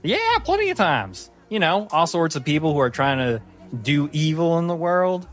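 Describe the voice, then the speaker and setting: A man's voice: a little high, sing-song, moderate pace, soft background music. Man voicing a tiny, cheerful, earnest little swordsman. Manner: cheerful, earnest, chivalrous, rambling.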